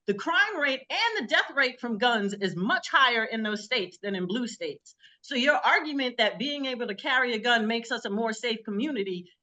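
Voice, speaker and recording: A woman panelist making a measured point by citing statistics. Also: fairly high pitch, bright, forceful tone. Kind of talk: panel debate.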